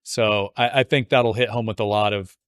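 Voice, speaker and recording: A man's voice: on the low side, fairly even intonation, normal speed, a bit bright, clean tone, no room sound. A man hosting a podcast interview in a casual, informal, jokey way, building up loosely to his questions. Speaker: podcast host interviewing an author.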